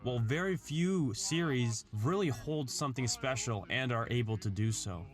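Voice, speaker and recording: A man's voice: mid-range voice, moderate pace. A man reading an earnest, articulate scripted narration in the style of a video essay. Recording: background music under the voice. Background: music.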